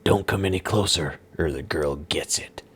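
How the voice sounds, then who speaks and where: A man voicing a gruff, menacing kidnapper who threatens in a slow, hissing half-whisper. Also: low voice, fairly bright tone, exaggerated voice. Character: gruff menacing male kidnapper, knife-wielding thug. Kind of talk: audiobook reading.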